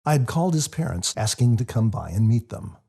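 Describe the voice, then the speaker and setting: Guy reading his audiobook, gravelly mellow voice, kinda low and husky. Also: sing-song, a little fast. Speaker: author reading own book. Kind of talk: scripted audiobook narration.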